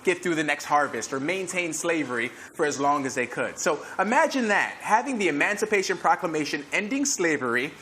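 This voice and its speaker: An American man hosting a discussion, earnest and emphatic, slowing down to stress key points. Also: fairly high pitch, big pitch swings, sharp tone. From United States, born to Nigerian parents. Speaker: fitness trainer and host. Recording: echoey room with faint ambient noise.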